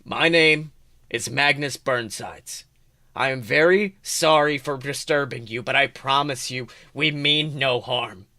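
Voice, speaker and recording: Man playing a calm, rustic adventurer. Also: mid-range voice, no room sound, clean studio sound. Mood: calm, courteous. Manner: calm, measured, courteous. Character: brave, calm, rustically hospitable male adventurer.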